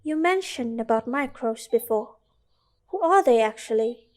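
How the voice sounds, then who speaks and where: A woman's voice: on the high side, animated, a little slow. A young woman with an Indonesian accent playing a sincere, newly recruited student in an amateur radio drama. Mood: amazed, curious. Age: young adult. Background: loud music.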